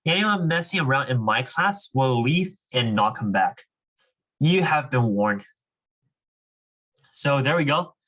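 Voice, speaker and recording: A man's voice: medium pitch, pushed and bright, poor audio. An encouraging, informal man leading a reading club, reading aloud and chatting with students. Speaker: reading club leader and coach.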